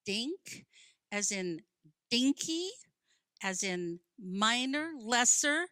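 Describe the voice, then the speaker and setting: A woman's voice: a little high, expressive, drawn-out words, sharp tone, dry recording, studio quality, full character voice. A woman acting in a radio play as an earnest, principled librarian with a precise, questioning way of talking. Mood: indignant. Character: earnest, principled female librarian, quick to challenge.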